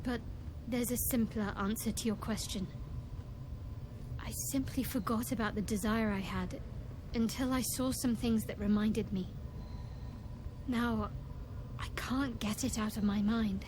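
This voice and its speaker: An actress playing a guarded, devout cleric in a fantasy video game, speaking in a measured, confiding and solemn way. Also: female voice, on the high side, fairly even intonation, noisy background, lightly acted. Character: guarded, devout female cleric companion, secretive and earnest.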